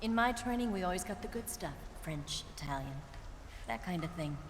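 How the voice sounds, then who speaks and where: A woman playing a teasing female spy, low voice. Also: expressive, normal speed, big-room sound, very noisy, close to an everyday voice. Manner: teasing, playful. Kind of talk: video game cutscene acting.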